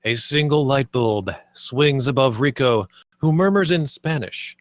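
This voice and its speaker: Man reading screenplay directions, medium pitch. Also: animated, measured pace, no echo, studio quality. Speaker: stage-direction reader. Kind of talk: scripted screenplay narration.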